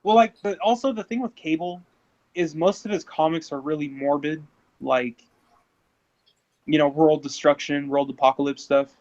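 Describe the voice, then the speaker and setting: A man in his thirties chatting casually, often stammering and restarting, growing animated when excited. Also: on the high side, normal speed. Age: 30s. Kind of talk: casual chat. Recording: dull lo-fi sound.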